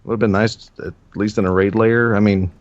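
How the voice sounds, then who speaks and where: A man with a low voice chatting casually on a gaming podcast, circling his points with fillers and restarts. His voice is dry and close, with a slightly dull, lo-fi sound. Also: steady tone, fairly quick, no echo. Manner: rambling, many fillers and restarts. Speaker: podcast co-host.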